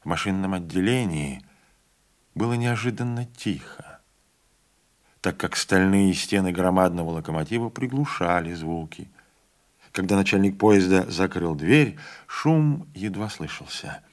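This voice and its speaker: A man reading a classic novella as an audiobook narrator, measured and dramatic. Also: low voice, lively intonation. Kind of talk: literary narration.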